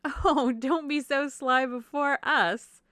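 A woman voicing a merry, silly young married gentlewoman in a classic novel, effusive and giggly. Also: high voice, takes it slowly, dry recording, clean studio sound, pitched above the performer's own voice. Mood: playful, teasing. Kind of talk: audiobook character voice, classic novel.

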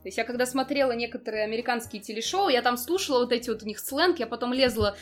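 A woman's voice: a little high, fast, soft background music. A young woman in a casual conversation, explaining at length with lots of fillers. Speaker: interview guest.

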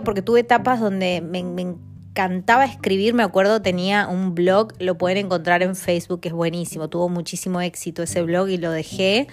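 A woman from Mendoza, Argentina, in her thirties, hosting a casual podcast monologue with an Argentine accent, chatting with lots of fillers and tag questions. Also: mid-range voice. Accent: Argentine Spanish. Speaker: podcast host.

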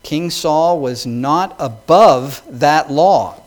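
Man preaching a sermon, fairly high voice. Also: drawn-out words. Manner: preaching with rising emphasis, reading scripture aloud. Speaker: pastor. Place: church hall with congregation.

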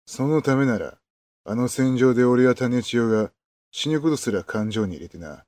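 Casting: male actor as rough loyal henchman in period radio drama; dark, lowish voice, cocky coarse talk, dry sound. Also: expressive, normal speed, dry recording, lightly acted. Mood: accusing. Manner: coarse, casual, swaggering speech. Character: rough, cocky low-born fighter, loyal retainer. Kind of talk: Japanese period voice drama acting.

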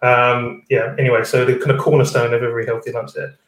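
Male host, mid-pitch, rambling and casual, distant roomy webinar sound. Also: little pitch movement, fast talker. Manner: rambling, lots of fillers and "kind of". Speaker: stand-in host. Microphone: video call.

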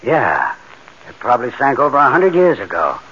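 An actor playing a tough, take-charge bank robber in a 1940s radio drama, with colloquial, commanding, streetwise talk. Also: male voice, mid-range voice, wildly swooping pitch, normal speed, sharp tone, husky, heavily acted. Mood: explaining, intent. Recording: muffled, noisy old radio recording.

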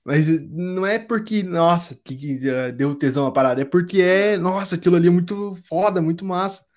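A woman's voice: fairly low pitch, brisk, a little mellow. A young woman with a southern Brazilian accent from Curitiba, chatting casually in a slangy, anecdotal way. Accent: Curitiba, southern Brazilian Portuguese. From Curitiba, Paraná, Brazil. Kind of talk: casual chat.

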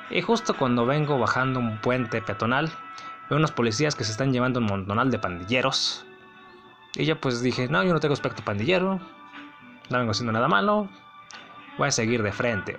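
Mexican man with a gravelly, creaky voice. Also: mid-range voice, fairly bright tone. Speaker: podcast host. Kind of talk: casual solo storytelling podcast.

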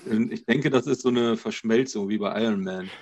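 A man's voice: fairly low pitch, fairly quick, a little mellow, no room sound. A man co-hosting a podcast, talking casually. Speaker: podcast co-host. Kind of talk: casual chat.

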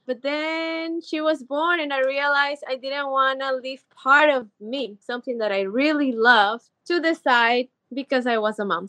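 A woman's voice: high voice, bright, forceful tone, dry recording. A woman from Ecuador in her thirties, a podcast guest chatting eagerly with lots of "like" fillers. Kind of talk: casual interview chat.

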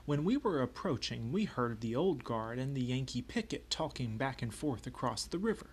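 Guy doing a southern rebel soldier telling folksy war stories from a memoir, medium pitch, noisy audio. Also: expressive, moderate pace, close to an everyday voice. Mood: wry reminiscing. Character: folksy male Confederate private recounting war memories. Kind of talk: first-person storytelling from a memoir.

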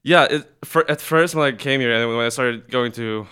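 Young man, smooth clear voice. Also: mid-range voice, moderate pace, sharp tone. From South Korea. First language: Korean. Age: young adult. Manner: hesitant, many restarts and fillers, laughs often. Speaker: guest. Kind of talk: casual chat.

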